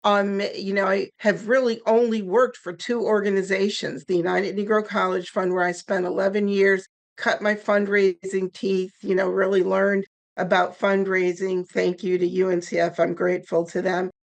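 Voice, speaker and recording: A woman in her sixties, a guest on a podcast interview, telling her story in a candid, lively way; German was her first language. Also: medium pitch, normal speed, vocal fry. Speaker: guest, nonprofit founder.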